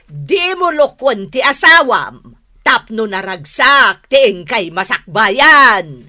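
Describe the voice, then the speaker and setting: A woman's voice: a little high, very animated, takes it slowly, pushed and bright, heavily acted. A woman with an Ilocano Filipino accent playing a nosy, moralizing aunt in a radio drama. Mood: scolding, moralizing.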